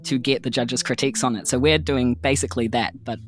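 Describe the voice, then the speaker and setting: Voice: mid-range voice, fairly even intonation, a little fast. A tabletop game player with a New Zealand accent, improvising an insistent, probing interrogation in character.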